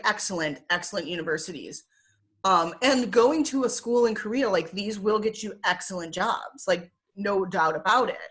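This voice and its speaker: A woman's voice: on the low side, fairly bright tone. Chatty woman with a Michigan accent. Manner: chatty with frequent fillers, laughs often. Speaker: guest. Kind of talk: casual interview talk. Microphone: video call.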